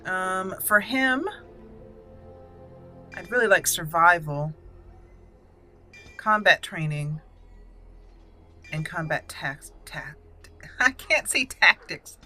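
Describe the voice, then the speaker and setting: Bright-voiced woman narrating her game. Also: fairly low pitch, animated, very slow, long pauses, faint music behind. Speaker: gaming video host. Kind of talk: roleplay game commentary, casual and thinking aloud.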